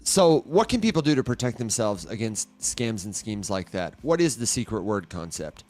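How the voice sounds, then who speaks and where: A man's voice: fairly low pitch, very animated, moderate pace, a little mellow, faint music behind. A man co-hosting a morning podcast in casual chat, conversational, asking his co-host questions.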